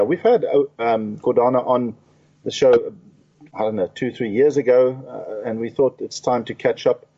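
A man hosting an interview talk show, relaxed and chatty with frequent fillers. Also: medium pitch, husky. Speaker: radio talk show host. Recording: dull lo-fi sound.

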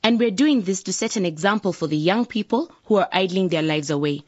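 A woman's voice: fairly low pitch, expressive, a little fast, no echo. A woman playing a determined, earnest nineteen-year-old aspiring councillor in a radio drama, speaking with purpose. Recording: slightly dull lo-fi recording.

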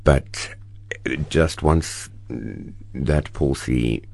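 Very deep gravelly South African man. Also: very slow. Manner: expressive, storytelling, animated bursts. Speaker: actor, guest. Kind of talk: interview conversation.

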